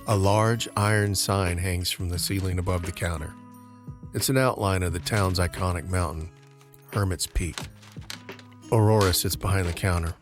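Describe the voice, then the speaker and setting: A man giving scripted narration for an audio drama, describing each scene. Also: low voice.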